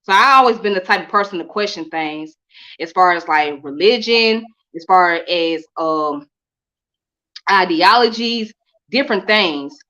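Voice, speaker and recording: A woman raised in Atlanta, a guest telling her story in a relaxed, repetitive, colloquial way. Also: fairly low pitch, a little slow. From Midwest-born, raised in Atlanta. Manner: repeats herself, relaxed, colloquial. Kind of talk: casual interview storytelling.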